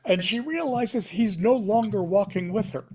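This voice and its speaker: A man narrates a screenplay for a table read. His voice sits a bit higher than average for a man. He delivers scene headings and action in short, punchy, dramatic phrases. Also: moderate pace, a little mellow, husky. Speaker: stage-direction reader at table read. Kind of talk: reading screenplay directions aloud.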